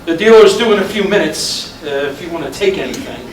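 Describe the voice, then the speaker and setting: A man's voice: high-pitched, expressive, fairly quick. A man reading the part of an easygoing, pragmatic husband in casual staged-play dialogue. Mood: casual, matter-of-fact. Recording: distant mic in echoey, noisy room.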